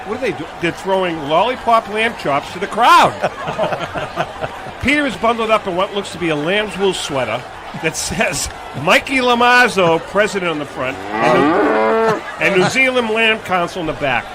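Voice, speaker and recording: A man's voice: high voice, sing-song, moderate pace. A man hosting a comic live radio broadcast of a parade, calling out what goes by. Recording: loud music and crowd behind. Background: parade music, crowd noise.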